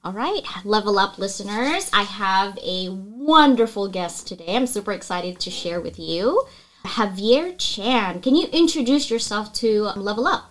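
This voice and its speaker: A woman's voice: medium pitch, lively intonation, unhurried, fairly bright tone, soft background music. Enthusiastic woman podcast host. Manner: enthusiastic, scripted opening then casual questions. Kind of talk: podcast intro and interviewing.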